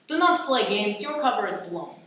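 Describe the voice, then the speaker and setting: A woman's voice: mid-range voice, sing-song, moderate pace, bright, forceful tone. A woman playing a vengeful, commanding Russian spy in a radio play. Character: defiant, vengeful female Russian spy holding captives. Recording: roomy echo, distant mic, faint noise.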